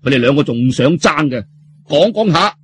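A man's voice: on the high side, lively intonation, moderate pace, a little hiss or hum. A man with a Cantonese accent telling a story in a dramatic, colloquial audiobook style.

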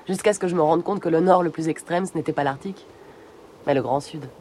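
An actress playing a wistful, encouraging polar researcher in a radio drama, speaking in reflective, short phrases. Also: female voice, low-pitched, fast talker, a little mellow, a little hiss or hum, close to an everyday voice. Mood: wistful, reminiscing. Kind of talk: naturalistic French radio drama acting.

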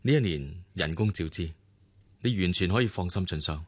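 An actor playing a wealthy businessman boss in a radio drama, calm and coolly dismissive, with a Hong Kong Cantonese accent. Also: sits low, moderate pace, slightly rough.

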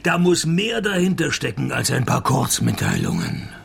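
Creaky, sardonic private detective. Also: male voice, medium pitch, moderate pace, exaggerated voice. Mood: dry, probing. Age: middle-aged. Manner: dry, deadpan, flippant. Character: cheeky, sardonic middle-aged male private detective. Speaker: lead detective and narrator. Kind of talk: deadpan comic radio detective drama.

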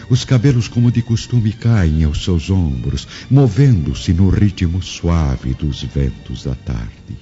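An actor playing the narrator of a radio drama, solemn, deliberate and literary in his scripted storytelling. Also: deep voice, animated, dark tone. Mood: solemn, reverent. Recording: dull lo-fi recording, faint music behind. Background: faint music.

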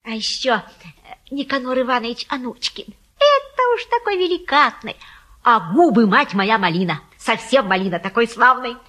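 An actress playing a garrulous, folksy old Russian matchmaker in a stage comedy, coaxing and boasting theatrically. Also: female voice, fairly high pitch, very animated, moderate pace, pushed and bright, heavily acted. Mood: effusive selling. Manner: folksy, garrulous, effusive, sing-song coaxing. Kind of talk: theatrical stage-comedy acting of a classic play.

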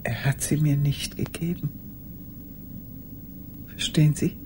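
An actress playing an old woman haunted by a lost love, speaking in a halting, intimate radio drama monologue. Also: low voice, fairly even intonation, noisy background. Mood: haunted, hushed. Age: older. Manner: halting, intimate, sometimes dropping to a whisper.

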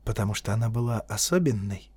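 Cool teasing lady near forty, man reading her, soft and quiet, dry close sound. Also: on the low side, lively intonation, quick pace, slightly rough, no echo. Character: poised proud woman near forty, teasing.

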